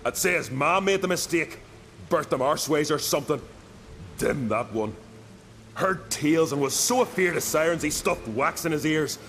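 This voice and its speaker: An actor playing a loyal, hot-headed young island warrior in a video game, with an earnest, colloquial way of telling a tale. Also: male voice, on the high side, lively intonation, moderate pace, a bit bright, a little hiss or hum. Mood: rueful, annoyed. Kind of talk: dramatic video game voice acting.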